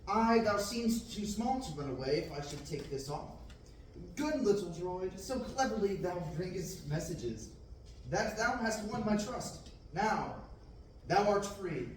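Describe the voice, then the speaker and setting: Eager young farm boy read by a young man, earnest and exclamatory, high-ish voice recorded from across an echoey classroom. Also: animated, a little slow. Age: young adult. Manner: earnest reading with bursts of theatrical exclamation. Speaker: table-read actor. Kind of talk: amateur Shakespearean-verse table reading. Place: large classroom indoors. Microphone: far camera. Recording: very echoey room, far-off mic, faint noise.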